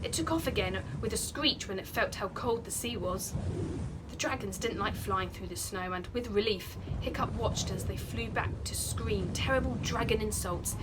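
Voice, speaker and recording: A woman's voice: mid-range voice, normal speed. A woman in her thirties reading a children's storybook aloud expressively, like a primary school teacher. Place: small room by a window. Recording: very noisy background hum.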